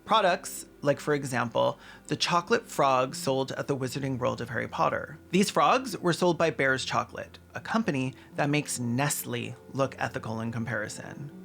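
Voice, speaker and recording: A man's voice: on the high side, vocal fry, a bit bright. A man delivering scripted commentary in a sardonic way, with dry asides and crude humor. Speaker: video essayist and host.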